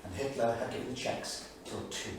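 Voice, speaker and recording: A man's voice: mid-range voice, fairly quick, lightly acted. Man playing a declamatory 1930s diarist, echoey theatre. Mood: grave, weary. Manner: declamatory, emphatic. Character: anguished, indignant 1930s male English diarist. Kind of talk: dramatic staged reading of a journal. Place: small theatre studio. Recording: echoey theatre, distant mic, faint noise.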